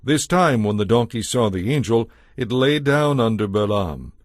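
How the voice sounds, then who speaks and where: Low-pitched male scripture narrator, dry sound. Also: animated, normal speed, no echo.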